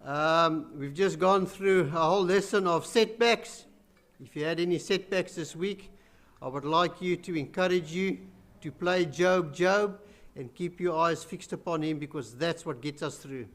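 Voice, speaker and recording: Loud chatty man at church. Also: on the high side, a little slow. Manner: loud, chatty, self-deprecating, many fillers. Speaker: church elder giving welcome and announcements. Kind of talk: casual church announcements. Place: small church hall.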